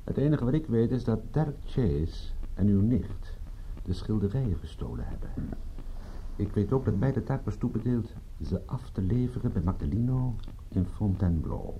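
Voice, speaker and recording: An actor playing a wry, unflappable private detective in a radio drama, with a husky voice and a dry, sardonic manner. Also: male voice, fairly low pitch, animated, moderate pace, dull audio, a little hiss or hum, close to an everyday voice. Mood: guarded, matter-of-fact. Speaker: narrator and lead character. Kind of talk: radio drama acting with narration.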